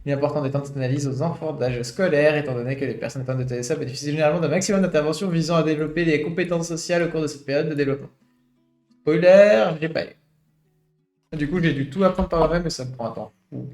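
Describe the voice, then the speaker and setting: A man's voice: a little high, fairly even intonation, quick pace, a little mellow. Man, late twenties, streamer, reflective, echoey room, faint guitar music. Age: late 20s. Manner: reflective, thinking aloud with many fillers. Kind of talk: reading a study aloud with personal commentary.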